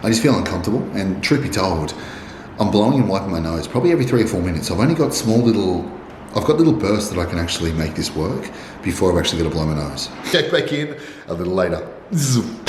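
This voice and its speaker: A man's voice: low-pitched, very animated, fast talker. A man with a congested voice, speaking in formal, wordy phrasing as he owns and narrates his barbershop vlog. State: congested, sick. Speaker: barbershop owner and vlogger. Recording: roomy echo with faint noise.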